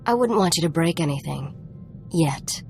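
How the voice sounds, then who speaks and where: Low-voiced sardonic smuggler woman. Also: animated, moderate pace, gravelly, a little mellow, soft background music. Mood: dry, haughty. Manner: dry, sarcastic, self-assured. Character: tough, sardonic woman smuggler partner, cool and haughty. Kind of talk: video game voice acting.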